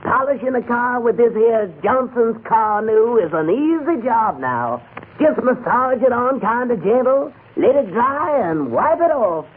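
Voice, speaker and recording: A man's voice: extremely high pitch, expressive, unhurried, a little hiss or hum. A man playing an easygoing, folksy worker in a 1940s radio advert sketch. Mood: cheerful. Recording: tinny, narrow old radio recording.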